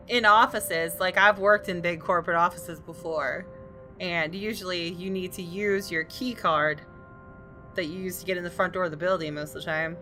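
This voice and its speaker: A woman's voice: on the low side, pushed and bright. A woman gaming host reading visual novel narration aloud, sometimes in a casual conversational style.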